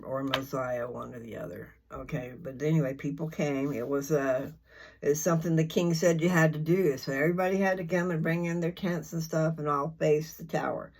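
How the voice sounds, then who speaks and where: Slow, husky older voice, folksy and rambling. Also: mid-range voice. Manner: folksy, informal, meandering speech; halting, searching for words. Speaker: host of a scripture reading channel. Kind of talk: rambling casual chat before a reading.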